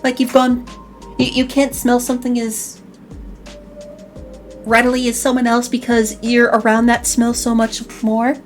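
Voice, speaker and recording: Chicago-ish lady hosting a chat stream, pretty high voice, keeps restarting her words. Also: a little slow, pauses often, faint music behind. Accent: slight Chicago. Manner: chatty, animated, restarts words often. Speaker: podcast host. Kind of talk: casual online chat show.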